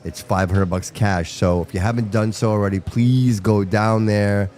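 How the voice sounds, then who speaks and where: A man whose first language is Portuguese hosts a live broadcast from a restaurant venue, talking with a low, dark, mellow voice. He rambles in an animated way and repeats phrases as he chats. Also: normal speed, slight background noise. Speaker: host. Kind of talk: casual live-stream chat. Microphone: headphones with mic.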